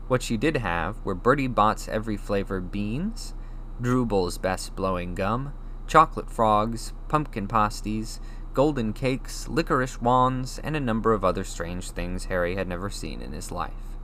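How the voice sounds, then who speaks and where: A man reading a children's novel aloud as expressive scripted narration. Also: fairly low pitch, slight background noise, rough recording. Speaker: audiobook reader.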